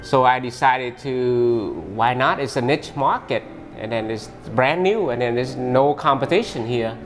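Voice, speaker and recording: A man who owns a pho restaurant, talking in an interview in halting English with many restarts. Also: medium pitch, faint music behind. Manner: halting, restarts often, non-native English phrasing.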